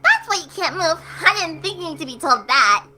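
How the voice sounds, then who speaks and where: A woman's voice: extremely high pitch, sing-song, brisk. A woman playing a gleeful, sinister young female cartoon pony, giggly and sing-song with false cheer, in a horror story reading. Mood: gleeful, chiding. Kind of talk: dramatized horror fan fiction reading. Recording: music behind the voice.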